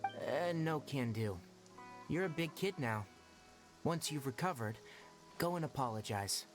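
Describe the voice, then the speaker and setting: A man voicing a brisk young forest ranger in a video game, dry and gently scolding. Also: mid-range voice, animated, lightly acted. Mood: stern. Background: game music.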